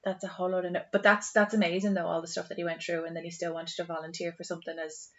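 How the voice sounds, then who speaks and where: A woman with an Irish accent chatting casually as a podcast co-host, expressive and repeating words for emphasis. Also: on the low side, very fast. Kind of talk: casual chat. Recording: slightly dull sound.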